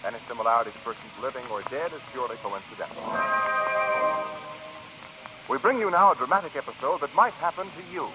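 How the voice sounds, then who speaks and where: Animated 1940s male radio announcer. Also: medium pitch, quick pace, pauses often, over loud music. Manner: emphatic, polished promotional delivery. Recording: tinny 1940s radio recording.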